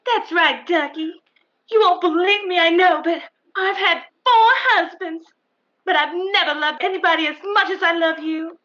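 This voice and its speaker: A sugary blonde femme fatale coos in a very high, bright voice. She is coy and gushing in the manner of old radio comedy, on slightly muffled audio. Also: normal speed. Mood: gushing, flirtatious.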